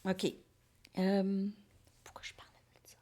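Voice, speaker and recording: A woman's voice: mid-range voice, completely flat delivery, slow, dark tone. A woman giving a casual tarot reading, rambling and hesitant, sometimes dropping to near-whispers. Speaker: tarot card reader.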